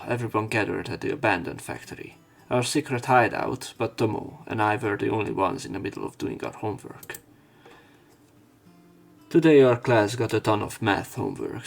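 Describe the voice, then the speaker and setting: A man's voice: on the low side, moderate pace, creaky, faint music behind. A man narrating a visual novel let's play, reading the story text aloud with commentary.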